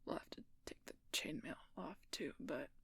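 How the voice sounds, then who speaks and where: A woman playing a wounded, protective but gruff female werewolf knight in an intimate audio roleplay, husky and halting, often dropping into a whisper. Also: low voice, bright, forceful tone, no room sound, full character voice. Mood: pained, gruff. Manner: halting, strained delivery with sighs.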